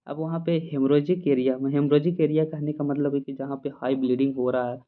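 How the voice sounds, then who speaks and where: A man's voice: fairly high pitch, fairly even intonation, fairly quick. A man giving an informal lecture as a teacher, repeating key points and often checking that listeners understand. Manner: repetitive, checks understanding with short tag questions. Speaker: physiotherapy teacher. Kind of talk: informal lecture in Hindi mixed with English terms. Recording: muffled narrow-band phone-like sound.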